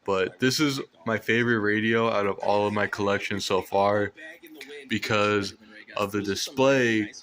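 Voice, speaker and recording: An American man casually showing off a collection in a monotone, unhurried way, as a hobbyist presenter. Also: on the low side. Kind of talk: casual show-and-tell of a collection.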